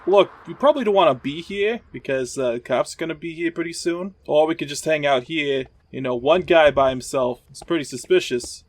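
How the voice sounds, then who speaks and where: A man's voice: fairly high pitch, fairly quick, hardly any pauses. A man playing a tabletop game, improvising casually in character in an offhand, conversational way.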